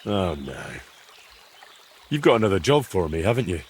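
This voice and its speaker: A man's voice: low voice, big pitch swings, normal speed, slight background noise. An actor playing a curious, plain-spoken young man in a medieval video game, frank and good-natured. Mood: wary, teasing. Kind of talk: dramatic dialogue in a cinematic historical game.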